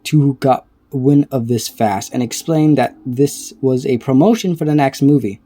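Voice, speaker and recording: A man giving casual reaction commentary, reading aloud haltingly and stumbling over words between offhand remarks. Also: medium pitch, dark tone. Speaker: reaction video creator.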